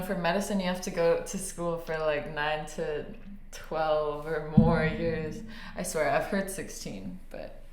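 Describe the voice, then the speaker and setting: A young woman co-hosting a casual podcast, teasing and giggly, with lots of "like.". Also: fairly low pitch. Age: young adult. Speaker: podcast co-host. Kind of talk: casual chat. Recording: echoey room with faint hiss.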